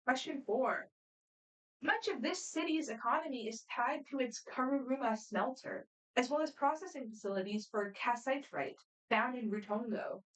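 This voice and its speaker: A woman moderating a student quiz competition, reading questions aloud in a brisk, friendly, matter-of-fact way. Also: fairly high pitch. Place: competition room. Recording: voice picked up from a distance.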